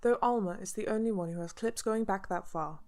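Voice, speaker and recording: A woman playing a serious, measured investigator who narrates recorded evidence in a scripted radio drama. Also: mid-range voice, a little mellow, natural voice. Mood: serious, intrigued. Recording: music bed under the voice.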